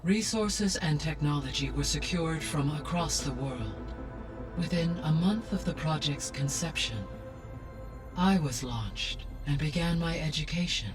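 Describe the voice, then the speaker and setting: A man acts out a gentle, erudite male AI from a video game. His voice sits slightly high, and he speaks with calm, formal precision. Music plays clearly underneath. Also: natural voice. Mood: measured, informative. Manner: measured, formal, precise delivery. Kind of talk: scripted video game dialogue.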